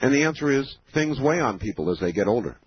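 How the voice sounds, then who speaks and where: Man, 50, radio call-in host, measured and explaining, dull lo-fi broadcast, faint hiss. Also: mid-range voice, sing-song. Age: 50s. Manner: measured, explaining, many filler pauses. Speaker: radio talk show host. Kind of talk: late-night call-in radio.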